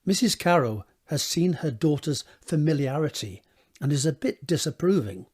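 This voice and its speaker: A man narrating scripted stage directions for an audio drama in a measured, descriptive reading. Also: a little high, animated, a little mellow, slightly rough.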